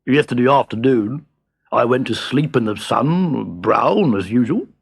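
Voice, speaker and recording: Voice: mid-range voice, lively intonation, unhurried, creaky, husky, full character voice. A grumpy male rabbit from a children's storybook, voiced by a man. He grumbles along gloomily, as if put out at being disturbed. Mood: grumbling. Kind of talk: children's audio storybook character voice.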